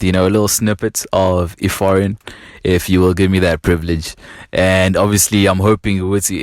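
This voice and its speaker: A man's voice: lowish voice, no room sound. A South African man hosting a podcast in a casual, slangy, enthusiastic and appreciative way. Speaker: podcast host. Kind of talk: casual chat.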